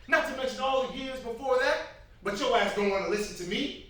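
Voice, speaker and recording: A man's voice: very high voice, brisk, pushed and bright. A man playing a protective, exasperated older brother in a dramatic staged reading, intense and emotional, with profane vernacular. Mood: furious. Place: echoey room at a live staged reading. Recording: very roomy, distant mic, faint noise.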